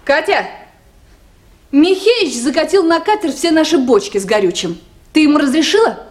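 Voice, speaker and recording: A woman's voice: sits high, lively intonation, normal speed. An actress playing a naive, chatty young woman with a pert, girlish manner in a Soviet radio play. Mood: indignant.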